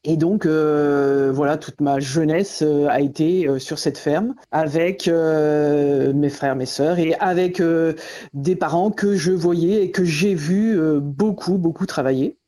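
Female interviewee, middle-aged, from Normandy. Hesitant, thoughtful phrasing, mellow timbre, telling her farming life story. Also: low voice, slow pace. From Normandy, France. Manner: hesitant, searching for words. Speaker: interviewee, farmer and forest garden founder. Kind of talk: radio interview, personal account. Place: on a telephone call for a radio show.

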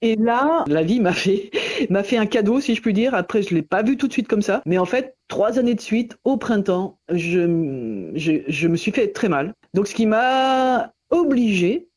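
Female interviewee, middle-aged, from Normandy. Hesitant, thoughtful phrasing, mellow timbre, telling her farming life story. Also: medium pitch, lively intonation, a little slow. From Normandy, France. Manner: hesitant, searching for words. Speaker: interviewee, farmer and forest garden founder. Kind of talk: radio interview, personal account. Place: on a telephone call for a radio show.

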